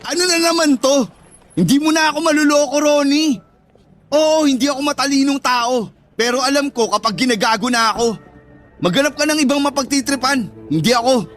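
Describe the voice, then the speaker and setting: Young Filipino male, very high voice, scornful and wounded, radio drama confrontation over a music bed. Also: brisk, a bit bright. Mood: scornful, disgusted. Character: angry, betrayed young straight man rejecting his friend. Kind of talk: Tagalog radio drama acting.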